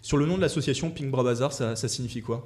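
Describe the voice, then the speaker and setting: A man hosting a local radio interview, reading a smooth introduction and asking questions with some hesitation. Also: mid-range voice, fast talker, a little mellow. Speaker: radio host. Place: radio studio.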